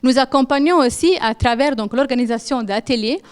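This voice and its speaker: A middle-aged woman gives an earnest, halting presentation to an audience, with many fillers and restarts. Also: high-pitched, clean tone. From Italy. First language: Italian. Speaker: patient association co-founder presenting.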